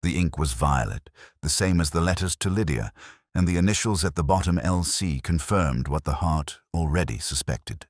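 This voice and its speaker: Very deep-voiced man narrating a brooding mystery. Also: lively intonation, moderate pace, dry recording, studio quality. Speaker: narrator of a first-person detective story. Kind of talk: scripted literary mystery narration.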